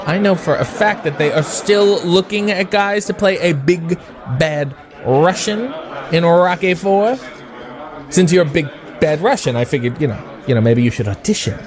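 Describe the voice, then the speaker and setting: An actor playing an eager, rambling, chummy eighties wrestler in an audio drama. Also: male voice, on the high side, lively intonation, normal speed, a crowd in the background, natural voice. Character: chatty, coked-up 1980s wrestler giving a friendly pitch.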